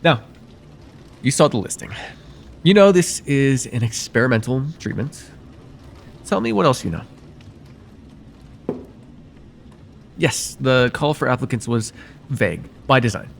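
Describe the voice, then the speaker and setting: A man playing a courteous nocturnal vampire therapist in an ASMR roleplay, speaking formally and gently to the listener in a soothing, slightly hesitant way. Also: medium pitch, very animated, normal speed, vocal fry, pauses often, a little hiss or hum. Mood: polite, probing.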